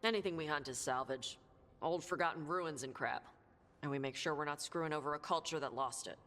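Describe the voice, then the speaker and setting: A woman playing a blunt, laconic young dragon hunter and treasure seeker in a video game, talking gruffly and informally. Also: deep voice, fairly quick, a bit bright. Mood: matter-of-fact. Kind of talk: video game dialogue acting. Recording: music under the dialogue. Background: game music.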